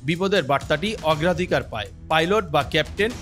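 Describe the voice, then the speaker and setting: A man narrates a scripted news explainer. Clear background music plays under his reading. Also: fairly high pitch, animated, brisk, a bit bright. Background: music bed.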